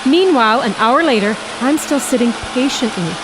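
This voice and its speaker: High-voiced exasperated wife played by an actress, over engine noise. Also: female voice, animated, moderate pace, natural voice. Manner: scolding, sarcastic. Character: exasperated, sharp-tongued wife in a car, nagging her husband. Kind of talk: radio drama acting. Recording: clear car engine and road noise.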